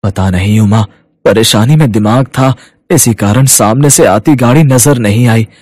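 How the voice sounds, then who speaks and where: A man acts out a poor toy-seller in a moral-story cartoon. He is worried and humble, with a medium-pitched voice. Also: measured pace, a little mellow, no room sound, studio quality, natural voice.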